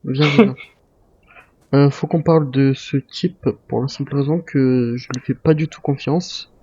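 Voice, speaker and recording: A man's voice: mid-range voice, steady tone, unhurried, mellow, husky, no echo, dull audio. A man speaking in improvised game roleplay, commanding and suspicious in manner. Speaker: gamer in a zombie survival roleplay.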